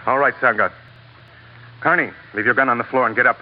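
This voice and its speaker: An actor playing a commanding, menacing crook with a curt, domineering manner in vintage radio drama. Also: male voice, mid-range voice, fairly quick, close to an everyday voice. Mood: curt, threatening. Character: commanding, menacing gun-toting crook running a chart deal. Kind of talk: 1940s-50s radio drama acting. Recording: dull, noisy vintage radio recording.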